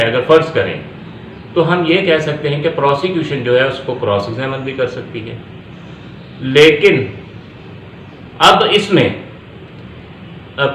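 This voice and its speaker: A man with a Pakistani accent giving an explanatory law lecture, deliberately repeating phrases for emphasis and switching into English terms. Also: medium pitch, bright, forceful tone. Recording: echoey room with faint background noise.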